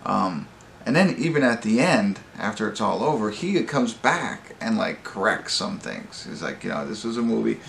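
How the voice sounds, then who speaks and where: Man, movie review host, gravelly fry, casual and rambling, halting delivery, roomy sound with faint background noise. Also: fairly low pitch, big pitch swings, fairly bright tone.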